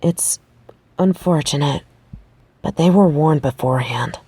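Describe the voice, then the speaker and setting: A woman's voice: low-pitched, a little slow, warm tone, husky, no room sound. A woman playing a determined, pragmatic researcher of the supernatural in an audio roleplay, speaking in a conversational, matter-of-fact way. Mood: grave, reassuring. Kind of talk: audio roleplay acting, addressing the listener.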